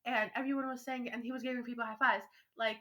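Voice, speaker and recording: A young teenage girl chats on a storytime podcast in a high, bright voice. She talks very quickly, rambling and gushing in a giggly, casual way. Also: level tone. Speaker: podcast co-host.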